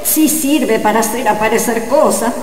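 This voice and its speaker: A woman's voice: on the high side, moderate pace, a little mellow, close to an everyday voice. A woman playing an evasive, sheepish amateur sorceress in a radio comedy drama. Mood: coy, evasive. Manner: coy, evasive, theatrical. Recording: echoey reverberant sound, faint noise.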